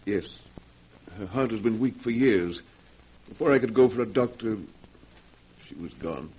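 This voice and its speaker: An actor playing an unstable, secretive gentleman recluse with formal, theatrical manners in a 1940s radio horror drama. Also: on the low side, lively intonation, a little slow, slightly rough. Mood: subdued. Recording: noisy old 1940s radio recording.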